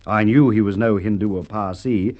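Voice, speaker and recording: Man acting a shrewd, low-voiced police inspector, muffled old recording. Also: normal speed, close to an everyday voice. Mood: sardonic, triumphant. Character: shrewd, unhurried male police inspector, quietly sardonic. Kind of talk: radio drama acting. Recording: dull lo-fi vintage radio recording.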